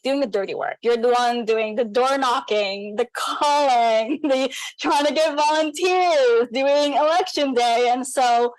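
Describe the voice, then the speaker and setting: A young woman from the Philippines speaks as a guest in a relaxed interview, earnest and upbeat, laughing often. Her voice sits on the higher side. The sound is dry and close to the mic. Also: dry recording, low-quality recording. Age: young adult. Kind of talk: casual interview.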